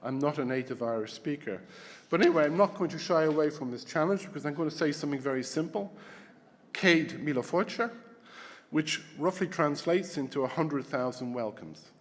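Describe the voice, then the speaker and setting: A Scottish man giving a formal opening welcome as conference host, with halting restarts and repeated words. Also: fairly high pitch, animated. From Scotland. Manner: hesitant, repeats words while searching. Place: large conference hall. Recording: long hall reverb.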